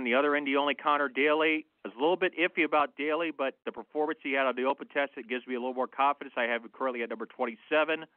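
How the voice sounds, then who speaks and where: A man giving measured, analytical, opinionated sports commentary in a conversational way, as a motorsport analyst on a radio show. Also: mid-range voice, smooth, dry recording. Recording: phone-like, narrow-band dry line.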